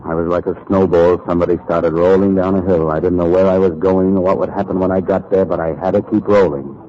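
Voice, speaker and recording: Man acting a low-voiced hard-boiled noir newspaperman, American. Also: fairly even intonation, quick pace, natural voice. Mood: haunted, brooding. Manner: terse, world-weary, sardonic. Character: hard-boiled newspaper columnist, dogged, brooding, haunted by guilt. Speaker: narrator and lead actor. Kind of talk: 1940s radio noir acting and narration. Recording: muffled, noisy 1940s radio recording.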